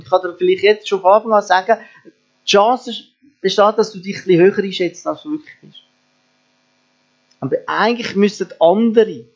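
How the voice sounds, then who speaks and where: A man's voice: sits high, darkish tone. A man preaching a sermon earnestly, asking his listeners rhetorical questions. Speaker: pastor. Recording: dull lo-fi sound with mains hum.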